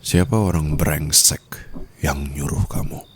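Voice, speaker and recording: A man's voice: steady tone, unhurried, slight background noise. A man playing a dominant, menacing rich husband in an ASMR roleplay, murmuring taunts intimately and slipping into whispers and English endearments. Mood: interrogating. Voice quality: very deep, gravelly. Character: dominant, menacing wealthy new husband toying with treacherous bride.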